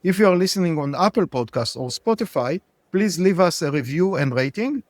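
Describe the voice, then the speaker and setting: Halting male podcast host, slightly higher voice. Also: animated, no echo. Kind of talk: hosting an interview.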